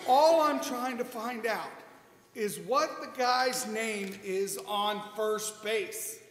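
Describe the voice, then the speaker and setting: A middle-aged man reading a classic comedy routine on stage, exasperated, animated and a little halting. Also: sits high. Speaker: amateur comedy performer. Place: small indoor stage in a hall. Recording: echoey, noisy hall from a distance.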